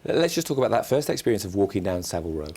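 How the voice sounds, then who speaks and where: A male television interview host giving formal, measured introductions and questions. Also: on the low side, big pitch swings, darkish tone, husky. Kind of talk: broadcast interview.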